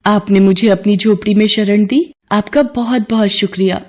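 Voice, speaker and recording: A woman voicing a gentle, humble goddess in a devotional story, speaking respectfully and earnestly. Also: medium pitch, fairly even intonation, moderate pace, natural voice. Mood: humble, pleading.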